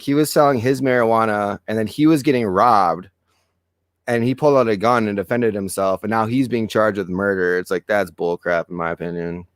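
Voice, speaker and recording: A man co-hosting a casual political podcast who reads news items aloud, keeps clearing his throat and gives blunt opinions, and whose voice is going hoarse. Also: on the low side, no room sound, studio quality. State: losing his voice. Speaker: podcast co-host.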